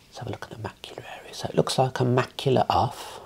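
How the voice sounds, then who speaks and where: A man speaking softly, mostly in a whisper, calmly giving reassuring instructions as an optometrist in an ASMR eye exam roleplay. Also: medium pitch, fast, vocal fry.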